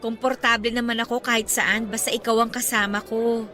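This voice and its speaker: Radio drama girlfriend in her thirties: sharp bright tone, Filipino accent, fond and coy. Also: on the high side, little pitch movement, brisk. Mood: devoted, tender. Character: devoted, sweet girlfriend in her thirties, newly graduated. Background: background music.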